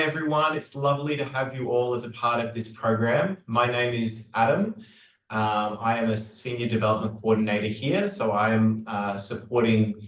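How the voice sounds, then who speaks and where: A man's voice: on the low side, echoey room, distant mic, rough recording. A man, a senior development coordinator, giving a welcoming introduction.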